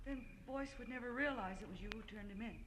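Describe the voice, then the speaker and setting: A woman playing a frightened young society woman in a 1940s radio crime melodrama, protesting in halting bursts. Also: fairly high pitch, a bit bright, close to an everyday voice. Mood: frightened, pleading. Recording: noisy old radio drama recording.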